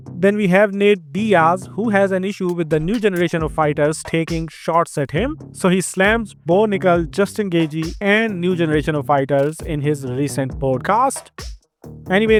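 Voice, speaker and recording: A young man with an Indian accent hosting an upbeat, scripted fight news show. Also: sits high.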